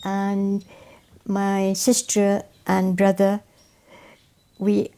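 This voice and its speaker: Older woman, mellow voice. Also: medium pitch, completely flat delivery, very slow, smooth. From Wales. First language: Welsh. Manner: warm storytelling, repeats phrases for emphasis. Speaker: guest, educator. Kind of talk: reminiscing interview answers.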